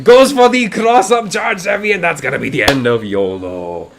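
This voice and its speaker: Man, from India, live esports commentary, slightly raspy voice, excitable, rambling. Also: a little high, moderate pace, slight background noise. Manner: excitable, rambling, jumps between hype and quiet asides. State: voice getting raspy. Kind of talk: live fighting game commentary.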